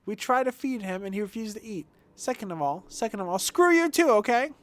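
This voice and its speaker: A man's voice: sits high, very animated, brisk, voice pushed up. A man voicing a brash, indignant boy who leads a street gang in a video game, slangy and blustering. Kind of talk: video game dialogue read aloud.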